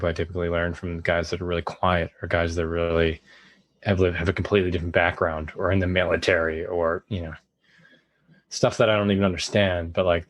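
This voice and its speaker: A man's voice: sits low, no echo. A man talking as a podcast guest, earnest and rambling, laughing easily, a little hot and sweaty. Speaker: podcast guest, men's group facilitator. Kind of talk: casual conversational interview.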